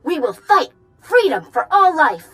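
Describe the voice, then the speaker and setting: An actress playing a righteous, fervent rebel leader who speaks earnestly and declaims like an activist in a video game. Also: female voice, high voice, big pitch swings, unhurried, a bit bright, full character voice. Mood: zealous. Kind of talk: video game character acting. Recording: music under the voice.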